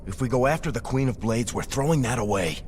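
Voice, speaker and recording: A man's voice: mid-range voice, expressive, fast talker, darkish tone, close to an everyday voice. A man playing an earnest, principled second-in-command in a video game, formal and insistent. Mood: objecting. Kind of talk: dramatic video game dialogue. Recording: faint ambient noise behind.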